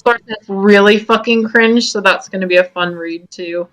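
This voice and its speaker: A woman's voice: medium pitch, moderate pace, fairly bright tone, soft background music. A woman in casual comic chat as a commentator, sweary and sarcastic. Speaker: comedy commentator.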